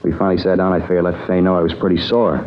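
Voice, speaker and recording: An American actor playing a henpecked married police detective in a 1950s radio drama, talking in a folksy, chatty, dryly complaining way. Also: male voice, low voice, little pitch movement, racing, natural voice. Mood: glum grumbling. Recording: dull vintage radio, faint noise.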